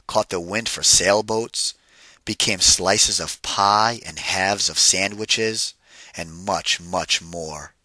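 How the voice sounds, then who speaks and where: A man with a low but very sharp, forceful voice reads a children's story aloud. He is deliberate and emphatic, speaking straight to young listeners like a teacher, in a dry sound. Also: no room sound. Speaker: read-aloud narrator and teacher. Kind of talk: picture-book read-aloud for children with questions.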